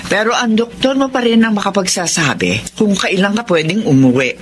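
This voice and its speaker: An actor playing a concerned, firm relative of a patient in a Filipino radio drama. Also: male voice, sits high, expressive, a little fast. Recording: very noisy background.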